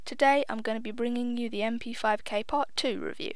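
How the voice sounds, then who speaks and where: A woman's voice: on the high side, fairly even intonation, brisk, no echo, studio quality. A young woman giving casual, chatty gameplay commentary. She is self-deprecating, swears offhandedly and admits she sounds a little nervous. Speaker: gaming video host.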